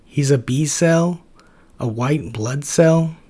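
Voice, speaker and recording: A man's voice: a little high, slow, dark tone, husky, pitched above the performer's own voice. A man voicing a tired, exasperated male helper T cell who keeps explaining things to his roommate.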